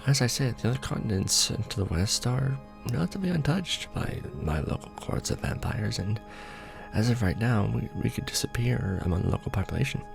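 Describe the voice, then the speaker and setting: A man playing a courtly, doting vampire lord in an ASMR romance roleplay, speaking formally and deliberately with tender pauses. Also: lowish voice, lively intonation, vocal fry, music in the background. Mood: commanding, scheming.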